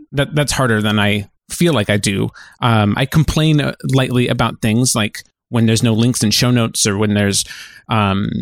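A man co-hosting a podcast, chatting casually in a halting, self-correcting way. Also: medium pitch, dry recording. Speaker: podcast co-host.

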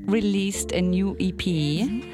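A woman radio host who asks a guest friendly, brisk interview questions. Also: medium pitch, over loud music.

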